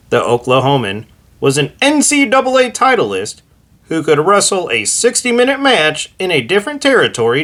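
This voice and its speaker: A man with a fairly high voice narrates from a script as the host of the show. His pitch swings widely while he takes his time, putting deliberate weight on his words like an announcer. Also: fairly bright tone. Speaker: host reading encyclopedia entries. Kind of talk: scripted narration, read aloud.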